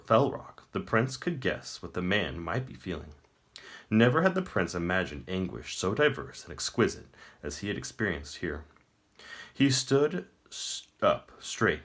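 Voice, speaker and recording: A man reading a fantasy novel aloud in a measured, deliberate storytelling manner with emphatic phrasing. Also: sits low, a bit bright. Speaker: audiobook-style reader. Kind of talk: scripted dramatic narration of a fantasy novel.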